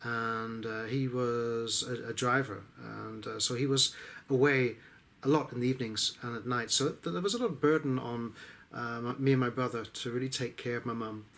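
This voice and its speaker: A man's voice: medium pitch, steady tone, normal speed, creaky, fairly bright tone. Reflective middle-aged Englishman, hesitant. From England, Exeter. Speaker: business coach. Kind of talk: personal life story told to camera.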